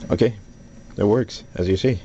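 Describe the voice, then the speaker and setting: A man giving an informal hands-on tutorial as a DIY presenter, explaining step by step in a halting way. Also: lowish voice. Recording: dull lo-fi camera audio, loud noise.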